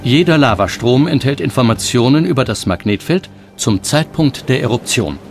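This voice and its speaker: A man reading scripted documentary narration in a measured, explanatory manner. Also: medium pitch, expressive. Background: background music.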